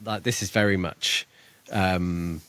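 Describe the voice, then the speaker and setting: A man's voice: low-pitched, steady tone, takes it slowly, a bit bright, dry recording. A man co-hosting a podcast, musing about a book in a hesitant, thoughtful way. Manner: thoughtful, hesitant, trails off and restarts. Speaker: podcast co-host. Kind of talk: casual book discussion.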